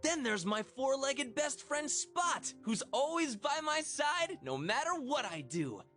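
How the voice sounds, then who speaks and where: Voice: high-pitched, very animated, measured pace, fairly bright tone, faint music behind, heavily acted. A performer voicing a friendly cartoon child in a children's game, speaking earnest, instructive rhymes. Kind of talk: scripted rhyming children's game narration.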